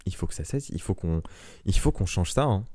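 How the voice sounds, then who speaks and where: A man's voice: sits low, lively intonation, fairly quick, dark tone. A man in his early twenties hosting a solo podcast, talking earnestly and with passion, with frequent restarts and fillers. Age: young adult. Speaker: podcast host.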